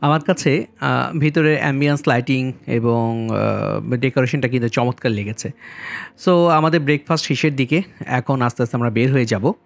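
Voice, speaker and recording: A man narrating a vlog as its host, politely and in measured phrases, speaking directly to viewers. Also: mid-range voice, vocal fry. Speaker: travel vlogger and host. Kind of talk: vlog voice-over narration with review commentary. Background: background music.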